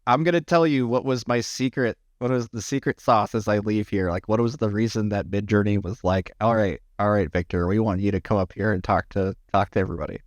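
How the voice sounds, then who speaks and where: A man chatting casually to his livestream viewers, rambling with many restarts and sounding slightly hoarse after hours of talking. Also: on the low side, steady tone, fairly quick, few pauses, no echo. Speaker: livestreamer and AI art tutorial maker.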